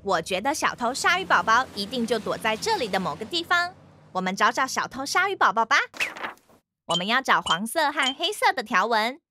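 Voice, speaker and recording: A woman voicing an eager, friendly young fox police officer in a children's cartoon, animated and questioning, with a Taiwanese Mandarin accent. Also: on the high side, brisk, background noise. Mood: curious, searching. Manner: animated, questioning, exaggerated reactions. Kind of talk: children's cartoon dubbing, addressing young viewers. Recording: music behind the voice.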